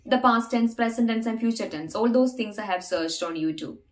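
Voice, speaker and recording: A woman's voice: on the high side, moderate pace. A young adult woman whose first language is not English, earnestly teaching English learners and repeating phrases to stress her points. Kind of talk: talking-head English learning lesson.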